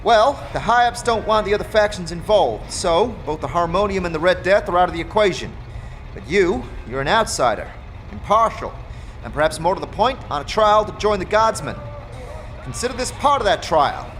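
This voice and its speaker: A man voicing a brisk, weary woman who supervises a foundry floor in a fantasy audio drama. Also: high voice, very animated, a bit bright, a little hiss or hum, voice pushed up. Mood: weary, businesslike.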